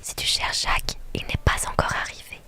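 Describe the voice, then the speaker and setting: A woman plays a slender, amused young man from a romance game. He is friendly and welcoming, and every word is a breathy whisper. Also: brisk, natural voice.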